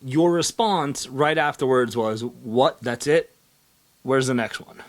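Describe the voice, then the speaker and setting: A man's voice: mid-range voice, slow pace. A man hosting a comic book video series in casual, conversational vlog style, upbeat and coaxing as he chats with a partner and addresses viewers.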